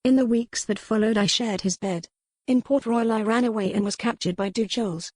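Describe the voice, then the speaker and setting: A woman's voice: fairly high pitch, fairly quick, a little mellow, dry recording, pitched above the performer's own voice, close to an everyday voice. A woman acts out a young noblewoman of historical romance. Her voice is lifted and dry, with no room around it. She pleads earnestly in formal, old-fashioned phrasing. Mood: confessing.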